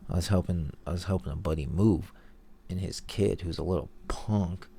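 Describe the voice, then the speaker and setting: A man's voice: low voice, normal speed, vocal fry, mellow, a little hiss or hum. A young man with a stuffed-up, nasal voice, rambling in a laid-back, slangy way as he comments over his own video. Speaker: video host. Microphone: headphones monitoring, voice-over mic.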